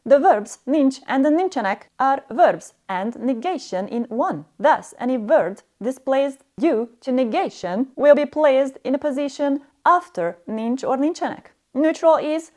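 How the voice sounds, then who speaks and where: A woman's voice: high-pitched, a little mellow. A woman narrating a scripted language lesson as a stern, harsh and demanding teacher.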